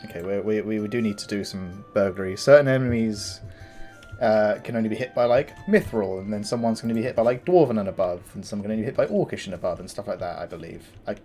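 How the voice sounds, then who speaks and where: Lowish gravelly guy muttering thru a game, lots of ums, faint music behind. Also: fast, mellow. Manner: rambling, thinking aloud with many fillers and restarts. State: tired morning voice. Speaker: gameplay commentator. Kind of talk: casual let's-play commentary.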